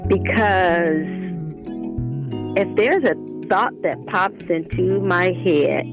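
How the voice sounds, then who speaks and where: A woman's voice: on the low side, slow, lo-fi sound, over music. A woman giving reflective interview answers as a guest author, speaking in an earnest, emphatic and devout way.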